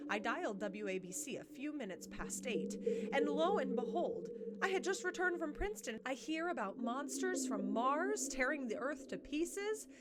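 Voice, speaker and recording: A woman's voice: high voice, animated, moderate pace, voice pushed up. A woman voicing an outraged, frightened radio listener with dramatic, emphatic delivery. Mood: indignant, distraught. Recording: very noisy recording.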